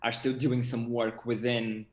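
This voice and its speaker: A young man with a Portuguese accent speaks in a slightly low voice. He chats casually, often pausing to hunt for the right word. The sound is echoey, as from a room on a video call. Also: completely flat delivery. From Madeira, Portugal. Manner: hesitant, searching for words. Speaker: interview guest, theatre actor and director. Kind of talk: casual chat.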